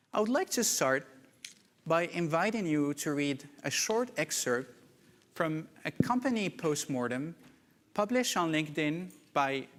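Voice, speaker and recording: A man from Morocco giving a prepared keynote talk at a conference, measured and deliberate. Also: a little high, fairly bright tone. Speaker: conference speaker. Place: conference hall. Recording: reverberant conference hall.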